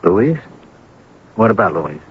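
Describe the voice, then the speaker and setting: An actor playing an anxious, henpecked husband in an old-time radio drama, stammering and hesitant. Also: male voice, low voice, big pitch swings, full character voice. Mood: guilty, upset. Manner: stammering, flustered, halting. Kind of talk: old-time radio drama acting, with first-person narration. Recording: muffled, noisy old radio broadcast.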